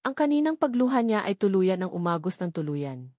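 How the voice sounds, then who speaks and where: A woman's voice: mid-range voice, very animated, brisk, a little mellow, no echo, studio quality. A woman reading a scripted first-person story as an audiobook narrator.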